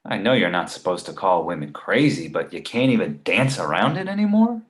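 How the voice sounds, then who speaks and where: A man's voice: low voice, big pitch swings, fairly quick. A man acting a meddling friend who gives bad advice, in a conversational comedy script reading. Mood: incredulous, scheming. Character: meddling male buddy offering bad advice.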